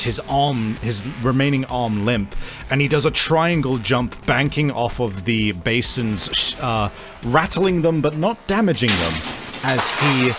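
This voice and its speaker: A man narrates a tabletop horror game as its game master. His mid-pitched voice moves slowly and haltingly as he improvises. Music is prominent behind him. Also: a bit bright.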